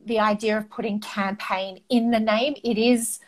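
A woman in her forties with an Australian accent, a native Greek speaker, chatting as an interview guest about her marketing work in a loud, passionate, animated way, full of "um" fillers. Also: mid-range voice, normal speed. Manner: loud, fiery and passionate, talks with her hands. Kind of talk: casual interview chat.

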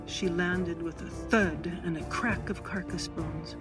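A woman reading a short story aloud as dramatic, literary narration with deliberate emphasis. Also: lowish voice, fairly even intonation. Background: music under the voice.

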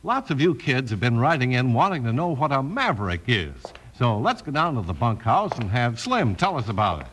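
A man's voice: medium pitch, very animated, brisk, hardly any pauses, loud music behind the voice. A man working as announcer and narrator on a 1950s children's radio show, reading scripted copy.

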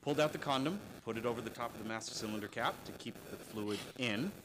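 A man's voice: medium pitch, quick pace, background noise. A man co-hosting a casual podcast, telling stories and teasing the others with dry jokes. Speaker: podcast co-host. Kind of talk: casual banter.

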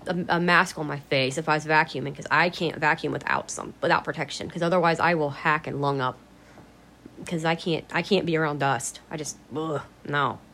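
A woman vlogging in a casual, rambling way, her voice a little raspy from allergies, blunt and free with swear words. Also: sits low, a bit bright. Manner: chatty, blunt, swears freely. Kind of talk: casual rambling vlog chat.

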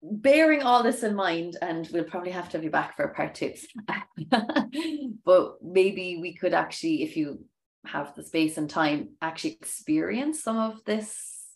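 A woman's voice: on the low side, expressive, measured pace, creaky. A woman from Ireland hosting a podcast, steering the talk in a friendly way. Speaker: podcast host. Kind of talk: casual podcast chat.

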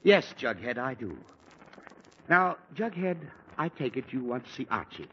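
A man's voice: mid-range voice, sing-song, normal speed, close to an everyday voice. An actor playing an exasperated middle-aged father with a headache, fussy and easily annoyed, in 1940s radio acting. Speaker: father of a teenage son. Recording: dull, faintly hissy 1940s radio recording.

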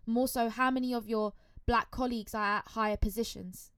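A woman's voice: fairly high pitch, fairly even intonation, brisk, a bit bright, clear, resonant tone, no room sound, studio quality. A woman hosting a podcast, reading a scripted introduction in an earnest way full of rhetorical questions. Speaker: podcast host.

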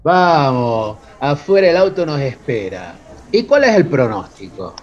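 Un actor de radionovela que interpreta a un doctor enamorado y afligido, de tono melodramático. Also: male voice, a little high, sing-song, a little slow, muffled recording, soft background music. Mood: concerned. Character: devoted, anguished gentleman doctor in love. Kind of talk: radio soap opera acting.

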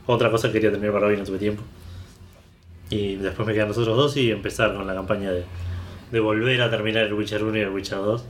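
A man's voice: lowish voice, steady tone, fast, slight background noise. Argentine man rambling in an echoey room. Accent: Argentine Spanish. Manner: rambling, stop-start, lots of fillers. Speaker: podcast co-host. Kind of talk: casual chat.

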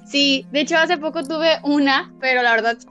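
A woman's voice: sits high, moderate pace, sharp tone, dull audio, soft background music. A young woman talking casually as a podcast guest, chatty and often laughing. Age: young adult. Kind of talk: casual chat.

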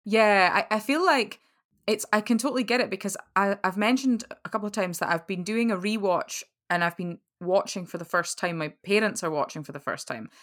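A woman's voice: medium pitch, brisk, a bit bright. A young woman chatting casually as a podcast guest, rambling with lots of "like" fillers. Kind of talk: casual chat over a call.

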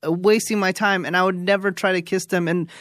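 A man's voice: high voice, fairly even intonation, a little fast, a bit bright, no echo, studio quality. A man in his thirties co-hosting a podcast, talking in a laid-back, casual way and saying "you know" often. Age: 30s. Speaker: podcast co-host. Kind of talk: casual advice chat.

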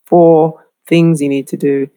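A middle-aged woman from the UK, a lecturer giving a clear, explanatory lesson on branding. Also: sits low, level tone, unhurried, mellow. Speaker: fashion marketing lecturer. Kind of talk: instructional talk to camera.

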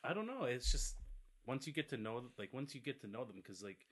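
A man in his late twenties chatting casually, telling stories in a relaxed way and swearing now and then. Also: medium pitch, brisk, a crowd in the background. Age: 20s. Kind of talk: casual chat.

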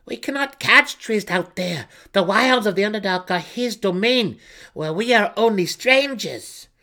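A man's voice: sits high, expressive, a little slow, sharp tone, voice pushed up, full character voice. Man putting on a snappish, proud priestess. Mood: defiant. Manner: snappish, defiant. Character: proud, sharp-tongued female high priestess.

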